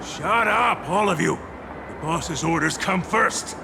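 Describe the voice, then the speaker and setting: A man's voice: sits high, slow pace, creaky, background noise, heavily acted. A man playing a hot-tempered, swaggering gangster underboss in a video game, brash and theatrical, sliding between snarls and mock politeness. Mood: suspicious, angry. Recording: loud background music.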